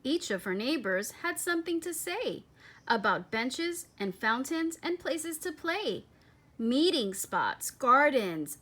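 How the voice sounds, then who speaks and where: A woman reading a children's picture book aloud as a storyteller, with expressive, deliberate phrasing and dramatic emphasis. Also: high-pitched.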